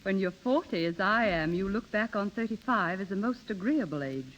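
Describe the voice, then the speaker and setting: A woman's voice: medium pitch, animated, moderate pace. Poised forty-year-old lady, muffled sound. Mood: gracious, knowing. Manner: poised, gentle, well-mannered. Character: gracious, discerning forty-year-old lady, gently knowing. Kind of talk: 1930s radio drama acting. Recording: dull 1930s radio broadcast recording.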